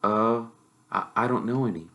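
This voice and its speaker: A man voicing a modest, curious young wizard boy in a quiet, tentative way. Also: lowish voice, moderate pace. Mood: hesitant.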